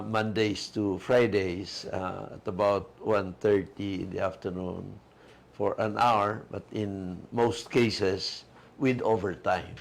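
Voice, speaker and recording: A man co-hosting a talk show, his delivery unhurried and hesitant. He has a low, gravelly voice and stops often to fill gaps with a drawn-out "uh.". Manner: slow, halting, many filler uhs. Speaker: co-host, attorney.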